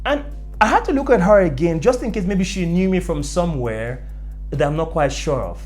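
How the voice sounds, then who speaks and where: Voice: mid-range voice, animated, moderate pace, darkish tone, faint music behind. A young adult with a Nigerian accent telling personal stories in an emphatic way, repeating phrases for effect. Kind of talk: animated storytelling to camera.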